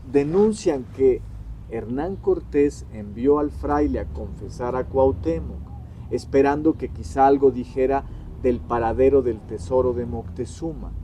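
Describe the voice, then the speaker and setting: A man delivering scripted critical commentary like a lecture, deliberately and emphatically, pausing between short phrases. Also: mid-range voice, warm tone, a little hiss or hum. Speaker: historian-critic presenting a critique.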